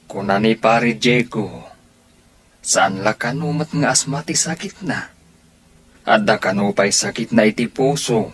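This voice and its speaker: A man voicing a village neighbor who chats slowly about others' troubles. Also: on the low side, expressive, slight background noise. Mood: gossiping.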